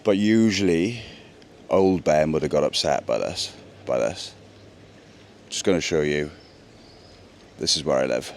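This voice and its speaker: A young man with a deep voice, chatting earnestly to camera in a casual vlog. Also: measured pace, pauses often, slight background noise. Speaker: vlogger. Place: indoors, then outdoors by a pool.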